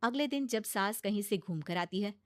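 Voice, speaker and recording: A woman's voice: medium pitch, lively intonation, moderate pace, no echo, clean studio sound. A woman giving scripted narration for a comic family story.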